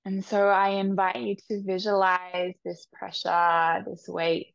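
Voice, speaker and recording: A woman leading a guided meditation with soft, soothing instructions. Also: mid-range voice, flat delivery, creaky, dry recording, dull audio. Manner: soft, slow, soothing instructions with long pauses.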